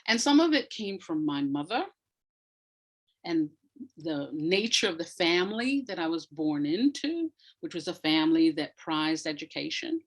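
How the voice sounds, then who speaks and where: An older woman speaking reflectively as an interview guest, in a measured, deliberate way with emphasis on key words. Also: low voice, big pitch swings. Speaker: guest, playwright and retired academic.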